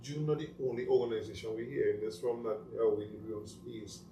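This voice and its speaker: A man's voice: lowish voice, quick pace, dark tone. A man with a Trinidadian accent talking casually in a panel discussion, making his points emphatically and repeating phrases for stress. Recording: roomy echo, distant mic, faint noise.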